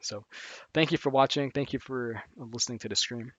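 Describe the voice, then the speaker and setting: A man's voice: medium pitch, normal speed, a bit bright, slightly rough, dry recording, muffled recording. A man streaming live, talking casually through a list of finance reading resources, rambling with lots of fillers and restarts. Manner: rambling, heavy on "like" and "again", frequent restarts.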